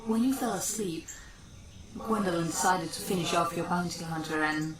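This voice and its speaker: Lady acting level-headed ghost sidekick, american, kinda low, dry and matter of fact, echoey western drama. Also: moderate pace, background noise, natural voice. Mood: wry, blunt. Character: level-headed, blunt female ghost companion.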